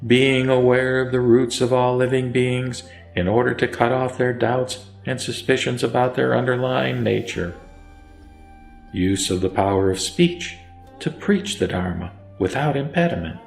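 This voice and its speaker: A man narrating a Buddhist scripture as a scripted recitation, in a solemn, formal and measured manner. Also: mid-range voice, fairly even intonation, creaky. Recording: echoey voice over faint music. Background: faint music.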